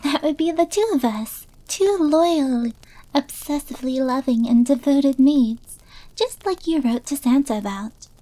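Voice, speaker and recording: A woman's voice: high voice, expressive, measured pace, dark tone, poor audio. A woman acting as a sweet, submissive, obsessively devoted young maid girlfriend in an ASMR roleplay, coy and eager to please.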